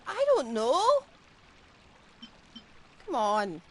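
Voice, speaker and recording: High, animated, exasperated woman streamer. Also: drawn-out words. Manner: exasperated, expressive, blurting reactions. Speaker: game streamer. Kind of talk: casual live gaming commentary.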